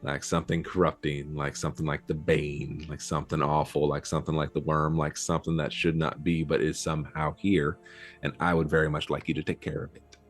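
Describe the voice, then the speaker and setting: Guy doing a low, smooth, creepy, smiling dude, slow and menacing, faint music under it. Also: level tone. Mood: sinister. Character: smiling shady man, menacing, cigarette-smoking.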